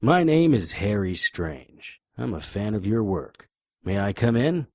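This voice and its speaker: A low-voiced, wry hardboiled detective. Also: male voice, a little slow, no room sound, clean studio sound, heavily acted. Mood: calm, pressing. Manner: deadpan, wisecracking. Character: wry, hardboiled male private investigator. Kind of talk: noir audio drama narration and dialogue.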